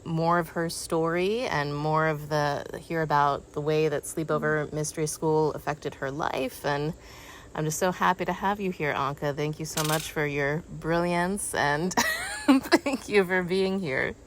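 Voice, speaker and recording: A woman's voice: deep voice, animated, unhurried. A woman hosting a casual conversational interview, appreciative and searching for her words, with noticeable background noise. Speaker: host, interviewer.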